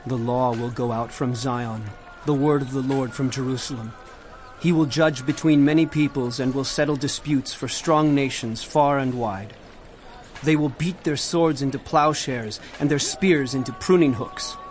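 A man's voice: medium pitch, normal speed, slight background noise, natural voice. An actor playing a stern prophet in a dramatized Bible reading, solemn and declamatory as he denounces corrupt rulers. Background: music.

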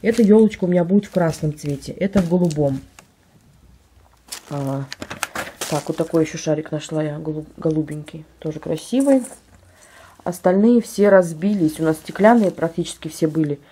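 A woman vlogger with a slightly hoarse voice from a cold, chatting casually at home and describing items she holds up for a phone camera. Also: sits low, moderate pace, dark tone. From Russia. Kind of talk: casual show-and-tell vlog. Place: at home, indoors.

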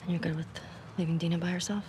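A woman's voice: lowish voice, fairly even intonation, very fast, background noise, close to an everyday voice. An actress playing a stubborn, troubled young female survivor in a naturalistic video game scene. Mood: troubled, subdued. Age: young adult. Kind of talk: naturalistic game cutscene acting.

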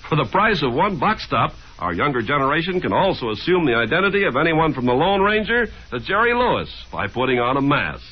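A man's voice: a little high, very animated, fairly quick, hardly any pauses, slightly rough. An American man reading scripted announcements in 1950s radio style. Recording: dull, faintly noisy 1950s radio broadcast.

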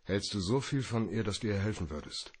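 An actor playing a hard-boiled, wry private detective in a noir radio drama, with a gravelly, laconic delivery. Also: male voice, fairly low pitch, expressive, a little mellow, dry recording. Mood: casual, probing. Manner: laconic, dry, slow.

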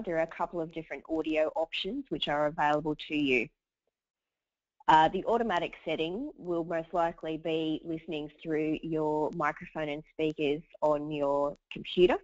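A woman, hoarse from a cold, hosting a webinar and running through housekeeping in a chatty way with many fillers. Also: low-pitched, moderate pace, a little mellow, no room sound. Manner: chatty, lots of uhs. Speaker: webinar host. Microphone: computer mic over a webinar line. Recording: dull dry webinar audio.

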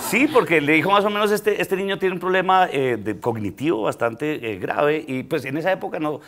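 A man's voice: on the high side, animated, a little fast, fairly bright tone. A middle-aged man from Colombia, a guest comedian on a studio talk show, telling a personal story with many hesitations and laughs. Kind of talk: casual talk show chat. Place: studio set.

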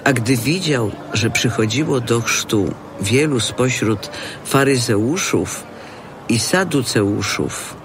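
A man's voice: medium pitch, animated, slow, background noise. A man delivering scripted gospel narration for an audio drama.

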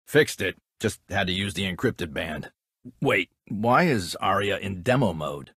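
An actor playing a blunt, casual technician in a video game, with an offhand, colloquial way of talking. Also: male voice, deep voice, expressive, unhurried, fairly bright tone, breathy edge, no echo, clean studio sound, full character voice. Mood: matter-of-fact. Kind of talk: dramatic video game dialogue.

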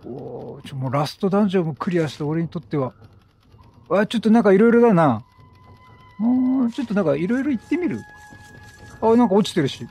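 Animated higher-voiced gamer man, husky edge. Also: fairly quick, long pauses. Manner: animated, talkative, exclaims and talks back to the game. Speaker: gaming YouTuber. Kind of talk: chatty video game let's play commentary. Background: game music.

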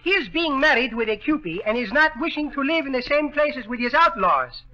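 An actor playing a jolly Greek immigrant with an accent who chatters in mangled English, in 1930s radio comedy style. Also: male voice, very high-pitched, big pitch swings, brisk, very noisy. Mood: jolly, chatty. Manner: garrulous, fractured English with malapropisms. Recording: dull vintage 1930s radio broadcast.

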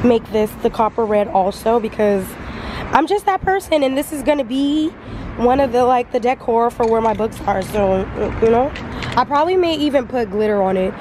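Chatty young woman vlogging at arm's length. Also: fairly high pitch. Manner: chatty and playful, with slang and laughs. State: hoarse, voice partly lost. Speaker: beauty vlogger. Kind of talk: casual vlog chat. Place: home beauty room, indoors, and outdoors in wind. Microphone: handheld camera at arm's length. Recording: noisy handheld vlog audio.

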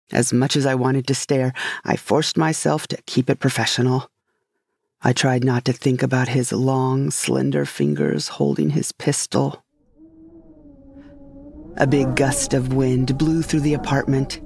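A woman's voice: very deep voice, creaky, a little mellow, husky, no room sound, clean studio sound, exaggerated voice. A woman playing a wry, curious retired space heroine who narrates a comic sci-fi story with dramatic flair.

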